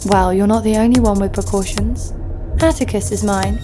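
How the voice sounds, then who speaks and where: A woman's voice: medium pitch, steady tone, normal speed, lightly acted. An actress playing a cheeky, sardonic female thief and killer, narrating her own escapades in an audio drama. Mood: teasing, defiant. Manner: wry, sardonic. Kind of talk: first-person audio drama narration with acted dialogue. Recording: loud music bed under voice.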